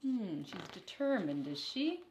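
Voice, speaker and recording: Lady teacher doing storytime for kids, slow and expressive, mid pitch, roomy echo. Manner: expressive, deliberate storytelling for young listeners. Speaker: teacher reading a picture book aloud to children. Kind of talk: children's storybook read-aloud with brief teaching asides. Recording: echoey, reverberant room.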